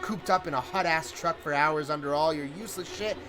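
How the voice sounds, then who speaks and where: A man voicing a menacing, foul-mouthed man with a knife in a horror story, loud and strained, ranting and mocking. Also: a little high, brisk. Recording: prominent music under the voice.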